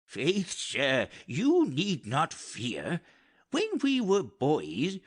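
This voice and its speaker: A man's voice: high voice, husky, heavily acted. A man playing a garrulous, reassuring old courtier full of wonder in a theatrical Shakespeare audiobook.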